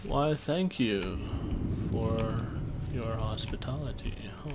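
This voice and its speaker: A man's voice: on the low side, slow pace. A young man casually commentating on a game from his bedroom, offhand and self-deprecating. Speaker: let's play commentator. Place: bedroom at home.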